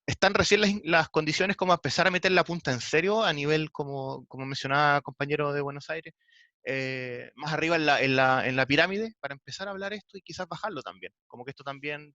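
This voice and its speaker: A man from southern Chile with a Chilean accent, talking in an online panel discussion in a halting way, with frequent restarts and filler sounds. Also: on the high side, pushed and bright, dry recording. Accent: Chilean Spanish. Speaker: discussion participant. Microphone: video call. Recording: dull, dry lo-fi video call audio.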